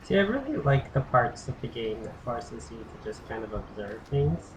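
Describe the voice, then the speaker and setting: A man giving casual, thinking-aloud commentary while streaming a game, his voice raspy and tired from little sleep. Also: medium pitch, moderate pace, rough recording. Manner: relaxed, thinking aloud with fillers. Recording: clear background noise.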